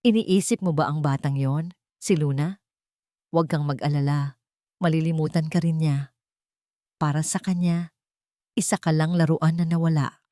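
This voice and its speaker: A woman voicing a cold, calm security chief who taunts and menaces in an audio drama. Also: sits low, dry recording, studio quality, natural voice. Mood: cruel taunting. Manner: unhurried, insulting, emotionless. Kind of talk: dramatized audio story dialogue.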